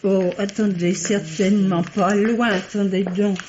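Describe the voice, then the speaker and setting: A woman's voice: medium pitch, level tone, unhurried, a loud crowd, close to an everyday voice. A woman playing a flustered, eager-to-please milliner with a hesitant, gushing manner, in 1950s French radio drama style. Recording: dull vintage radio recording.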